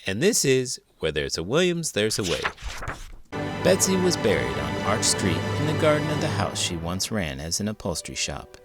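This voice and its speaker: A man's voice: fairly low pitch, wildly swooping pitch, moderate pace, over loud music. An American man hosting a read-aloud, narrating a children's history picture book.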